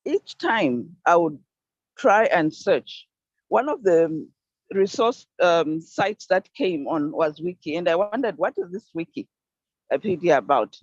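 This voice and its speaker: An older woman from Zambia giving an earnest, deliberate talk on an online conference call, stressing key words. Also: fairly low pitch, a little mellow, no echo. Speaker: panel speaker. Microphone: video call. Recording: dull dry video call audio.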